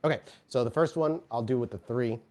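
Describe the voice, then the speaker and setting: A man runs a tabletop roleplaying game as storyteller. His voice sits at an average pitch. He moves between vivid, descriptive narration and conversational explanation of the rules. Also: fairly quick, a little mellow.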